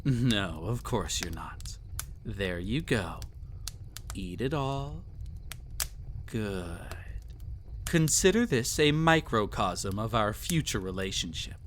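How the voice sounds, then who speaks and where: A man's voice: fairly low pitch, big pitch swings, takes it slowly, long pauses, slight background noise. A man playing a cruel, possessive emperor in an intimate roleplay audio drama, silky and condescending, teasing as he pampers.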